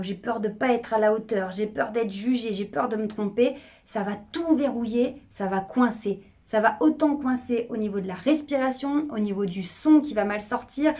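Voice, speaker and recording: A middle-aged woman speaking as a public speaking coach, energetic and emphatic, often repeating phrases to drive a point home. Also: a little high. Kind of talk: coaching video monologue.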